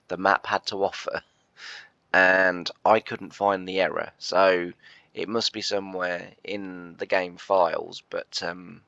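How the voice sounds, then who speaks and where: A man with a croaky, bunged-up voice from a chest cold, rambling through casual gameplay commentary with lots of hesitations. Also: deep voice, a bit bright, dry recording, dull audio. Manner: rambling, hesitant, full of "uh" and restarts. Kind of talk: casual let's-play commentary.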